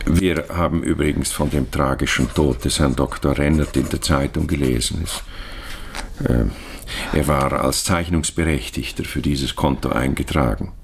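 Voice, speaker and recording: A man playing a discreet, evasive Swiss banker in a radio crime drama, fawningly polite, formal and hesitant, with a gravelly, husky voice. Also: a bass voice, moderate pace, slight background noise. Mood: courteous, probing. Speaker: bank official.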